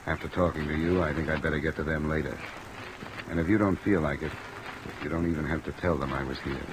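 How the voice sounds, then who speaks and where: An actor playing a cool, probing insurance investigator in a 1950s radio drama, measured, dry and matter-of-fact. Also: male voice, a bass voice. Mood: guarded, polite. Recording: old radio recording under loud rain noise. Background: steady rain sounds.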